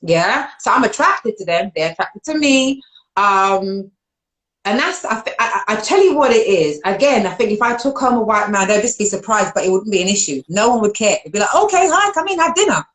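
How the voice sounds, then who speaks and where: London woman host, casual and animated, quick to laugh. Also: poor audio. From London, England. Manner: animated, laughs often, jumps up in pitch when excited. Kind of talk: casual live-stream chat.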